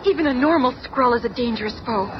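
A woman's voice: a little high, fast, lightly acted. An actress playing an anxious, fretful female superhero in an old-time radio drama. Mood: alarmed. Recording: dull noisy old radio recording.

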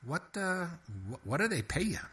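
A man's voice: high voice, big pitch swings, moderate pace, pushed and bright, voice pushed up, heavily acted. A man voicing a scheming male mouse who pesters with stammering, wheedling questions. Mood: pushy. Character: fast-talking scheming male mouse, pushy.

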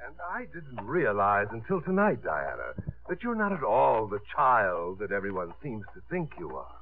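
Middle-aged romantic lead, earnest, flustered, hugely varied intonation, phone-like old radio sound. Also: male voice, lowish voice, wildly swooping pitch, normal speed, soft background music. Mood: ardent. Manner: ardent, swooping, stammering when flustered. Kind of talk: 1950s radio comedy-drama acting. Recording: narrow-band 1950s radio recording.

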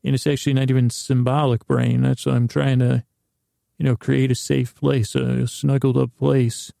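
A male podcast host with a very gravelly, fry-laden voice and a narrow, even pitch range. He rambles through a bedtime monologue, halting and interrupting himself in a silly, meandering way. The sound is dry and close to the room-free ideal. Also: dry recording. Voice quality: gravelly, creaky.

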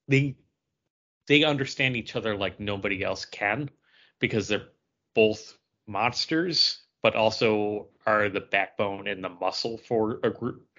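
A man's voice: on the low side, a bit bright, lo-fi sound. A man co-hosting a podcast in casual chat, rambling and often repeating himself as he goes. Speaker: podcast co-host. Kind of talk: casual comic book chat.

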